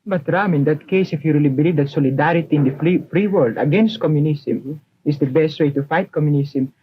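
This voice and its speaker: A man's voice: fairly high pitch, fairly quick, slight background noise. A teenage boy from the Philippines, a student debater arguing assertively on a 1950s broadcast. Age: teens. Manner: assertive, argumentative. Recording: muffled 1950s broadcast recording.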